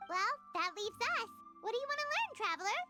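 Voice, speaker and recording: A very high, bouncy, childlike fairy companion from a video game. She is chirpy and curious, with big swings in pitch. Loud playful music runs behind her. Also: big pitch swings, a little fast. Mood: unsure, curious. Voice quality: squeaky, childlike. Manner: refers to herself in third person, exclaiming. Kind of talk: video game character acting.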